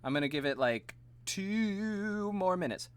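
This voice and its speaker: Man with a tired, gravelly voice. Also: high voice, lively intonation, unhurried. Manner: chatty, self-deprecating, laughs at himself. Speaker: streamer and voice actor. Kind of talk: casual live stream chat.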